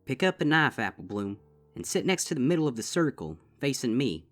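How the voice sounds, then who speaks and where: A man's voice: medium pitch, very animated, fairly quick, a bit bright, faint music behind, pitched above the performer's own voice. A man voicing a stern, protective older-sister farm pony with a drawl. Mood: solemn. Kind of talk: fanfic audiobook reading.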